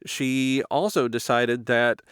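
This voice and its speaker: A man's voice: medium pitch, steady tone, takes it slowly, fairly bright tone, clean tone, no room sound. Podcast interviewer, man, mid-range voice, recorded very dry, conversational presenting style. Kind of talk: hosting an interview, introducing the guest.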